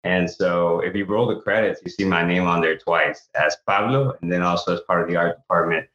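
A man's voice: low-pitched, brisk, fairly bright tone. A man from El Salvador, a guest actor and author, telling his story earnestly in an interview, with frequent restarts. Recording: echoey, slightly dull remote audio.

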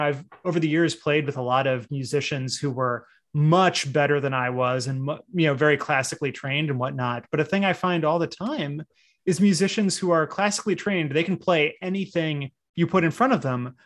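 Laid-back man in his forties, fairly high voice. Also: brisk. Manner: laid-back, rambling, laughs often, uses surfer slang. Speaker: guest writer. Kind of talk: casual podcast conversation.

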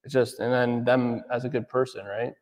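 A man's voice: medium pitch, fairly even intonation, normal speed, darkish tone, big-room sound. A man in his thirties, an auto detailer talking casually in an interview, full of fillers and asking "right?" a lot. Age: 30s. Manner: rambling, filler-heavy, says "right?" often. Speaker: auto detailer, business owner.

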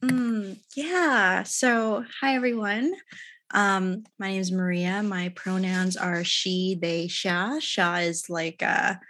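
A woman coach talking in a reflective, unhurried way with many pauses and soft laughs, as a podcast guest. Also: medium pitch, steady tone. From Philippines. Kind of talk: casual podcast conversation.

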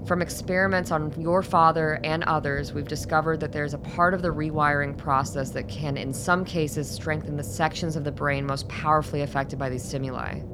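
An actress in a radio play, playing a guarded female doctor who speaks in a measured, formal, clinical way. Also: low voice, steady tone, noisy background, lightly acted. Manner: formal, explanatory, composed.

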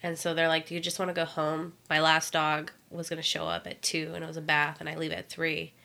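A woman with a congested, stuffy voice chats away on a podcast mic. Her tone is low for a woman but bright and forceful, and she tells her stories with loose, swearing ease. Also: little pitch movement, brisk, gravelly. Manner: chatty storyteller, swears freely, laughs often. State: congested from a cold. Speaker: podcast co-host, dog groomer. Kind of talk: casual chat. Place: indoor room, recorded on microphone.

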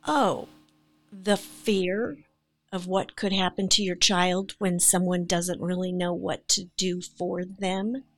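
A woman's voice: fairly low pitch, steady tone, slow pace, fairly bright tone, dry recording. An older woman speaking as an actress guest on a podcast, talking in an earnest, heartfelt way. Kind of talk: casual podcast chat.

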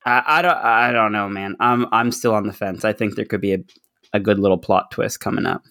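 A man's voice: fairly low pitch, a little fast, bright, forceful tone. A man co-hosting a podcast, talking casually in a laid-back, tentative way. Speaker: podcast co-host. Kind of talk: casual discussion.

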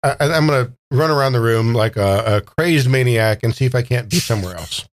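A man's voice: medium pitch, expressive, brisk. A man playing a tabletop role-playing game, chatting casually and thinking his moves through aloud in a playful, hesitant way.

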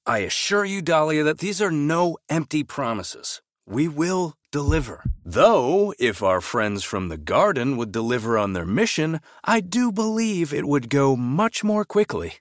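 Pompous headmaster villain, male actor, lively rising inflection, self-assured and formal, dry audio drama recording. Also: fairly high pitch, measured pace, hardly any pauses, dry recording. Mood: defensive. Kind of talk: scripted audio drama acting.

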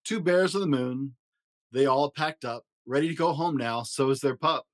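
A man hosting a story podcast, reading a rhyming children's picture book aloud in an expressive, rhythmic way. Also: mid-range voice, moderate pace. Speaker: podcast host and story reader.

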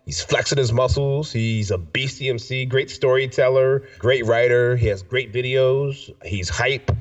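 A man's voice: mid-range voice, unhurried. A man hosting a casual show, slangy and enthusiastic, sounding nasal and stuffed up with a cold, repeating himself for emphasis. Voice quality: nasal, congested. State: sick with a stuffy nose. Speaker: radio show and podcast host. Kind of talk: casual chat. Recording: distant mic, dull lo-fi sound.

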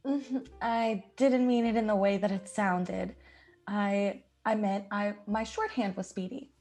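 A woman's voice: medium pitch, steady tone, unhurried, darkish tone, natural voice. A woman playing an eager, polite, earnest young woman in an old-time radio play. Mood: eager, nervous.

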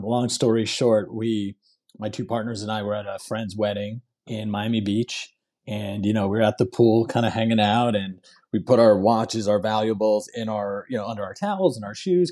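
A man's voice: lowish voice, mellow. A man from Hong Kong speaking as an interview guest, telling stories in a casual, conversational way. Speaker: podcast guest, entrepreneur.

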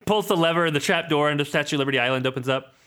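A man co-hosting a comedy podcast, reading an adventure book aloud in a dry, deadpan way and riffing on it. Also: fairly high pitch, racing, clean tone.

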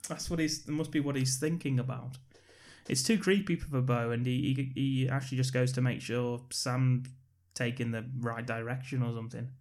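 A man with an average-pitched voice chats about a film with his co-host. He is easygoing and often chuckles, filling in details as they go. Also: fairly even intonation, normal speed, a little mellow. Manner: laid-back, chuckling, fills in plot details. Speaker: podcast co-host. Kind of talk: casual film review chat.

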